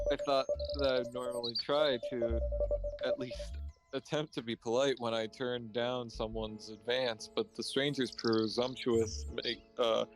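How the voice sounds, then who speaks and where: A man with a mid-pitched voice reads story narration from a game aloud, reacting casually as he goes. Music plays clearly behind him. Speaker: co-player reading the game's narration.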